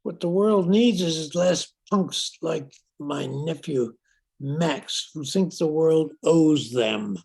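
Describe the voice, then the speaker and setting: An older man acts out a fussy, old-fashioned gentleman in a vintage radio play. His voice is slow, creaky and husky, with a stern, proper manner. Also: fairly high pitch, exaggerated voice. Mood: kindly, firm. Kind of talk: amateur old-time radio drama acting.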